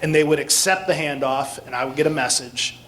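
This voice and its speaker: A man's voice: a little high, fairly even intonation, a little fast, a bit bright. An American man speaking as a guest instructor, explaining things plainly in an informal talk with questions from listeners. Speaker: guest speaker, air traffic control instructor.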